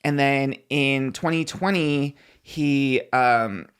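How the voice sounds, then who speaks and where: A man's voice: mid-range voice, slow pace, fairly bright tone, clear, resonant tone. A man co-hosting a podcast, casually recapping celebrity news in a gossipy, slangy, conversational way. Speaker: podcast co-host.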